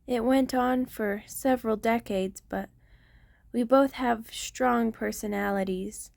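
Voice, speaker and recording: Slow, high-voiced tender vampire queen, played by a woman. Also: steady tone, gravelly. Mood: wistful. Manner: soft, formal, confessional, hesitant phrasing. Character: centuries-old vampire queen, tender, protective, remorseful lover. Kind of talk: intimate ASMR roleplay monologue.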